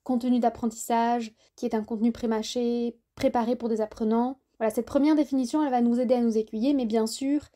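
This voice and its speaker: A woman's voice: fairly high pitch, fairly even intonation, a little fast, few pauses, dark tone. A woman hosting a solo podcast, explaining things informally and speaking directly to the listener in a conversational, didactic way. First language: French. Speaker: podcast host and French tutor.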